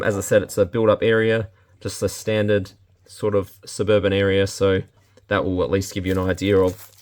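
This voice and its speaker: A low-pitched Australian man talks through a product demonstration casually, thinking aloud in a laid-back, unscripted way. Clear background noise and small handling clicks sit behind his voice. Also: darkish tone. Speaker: product reviewer. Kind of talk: casual unboxing and demonstration talk.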